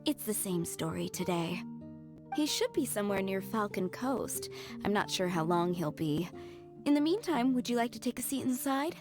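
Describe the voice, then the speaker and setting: Game role: gentle, deferential maid of a wine estate, mid-range female voice, polite and composed. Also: medium pitch, very animated, normal speed. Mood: courteous, informative. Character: courteous, devoted female winery maid, gentle and poised. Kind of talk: video game voice acting. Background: music.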